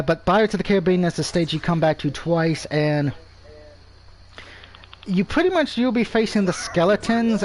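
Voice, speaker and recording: A man's voice: high voice, a little mellow. A man casually commentating on a live game stream, rambling and swearing, with opinions on everything and a speech impediment.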